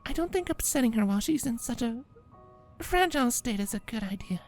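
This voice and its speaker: A woman's voice: a little high, expressive, fairly quick, a little mellow, faint music behind, natural voice. A woman playing a polite but insistent mother mare in a cartoon-pony fan fiction audio drama.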